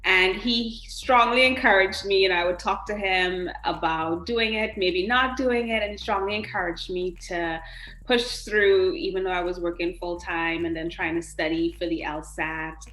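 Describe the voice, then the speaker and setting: A woman's voice: fairly low pitch, fairly even intonation, moderate pace, a bit bright. A woman giving conversational interview answers as a podcast guest in a roomy, echoing space. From Trinidad.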